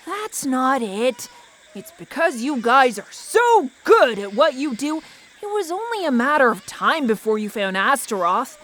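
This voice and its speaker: Performer as a high-voiced, cheeky incubus demon. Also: male voice, measured pace, heavily acted. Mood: pleading, defensive. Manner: animated, teasing.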